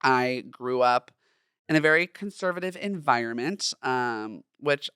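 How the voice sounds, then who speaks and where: A young man from Southern California with a feminine, light voice, hosting a personal solo podcast in a chatty, campy, expressive way. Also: mid-range voice, a little slow, clean tone, no room sound, studio quality. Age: young adult. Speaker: podcast host.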